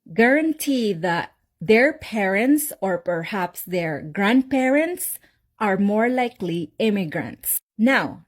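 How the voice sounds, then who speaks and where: A woman's voice: mid-range voice, slightly rough. A woman from the Philippines with a Filipino accent, talking frankly and casually to camera in a vlog. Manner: chatty, frank, mimics mocking laughter. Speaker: vlogger.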